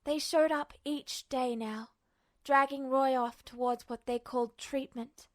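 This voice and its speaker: A woman narrates prose fiction in a fairly high voice. She reads from a script in audiobook style, as the storyteller of a fan fiction recording. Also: fairly even intonation, measured pace.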